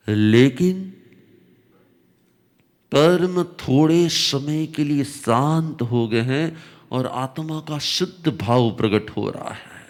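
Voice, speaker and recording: A man teaching a religious discourse, his voice slightly hoarse, explaining slowly with repetition and emphatic rises. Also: fairly high pitch. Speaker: Jain spiritual teacher.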